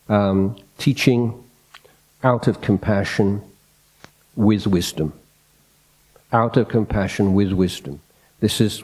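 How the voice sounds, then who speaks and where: A soft-spoken man, a Buddhist monk, giving an unscripted dhamma talk in a hall, reflective and hesitant, restarting his phrases often. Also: lowish voice. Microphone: microphone in a hall.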